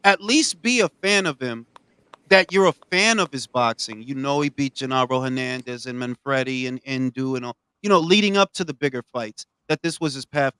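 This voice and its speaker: A man hosting a boxing talk show, ranting with heavy profanity and swinging from low mutters to loud outbursts. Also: moderate pace, smooth, no room sound. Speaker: podcast host.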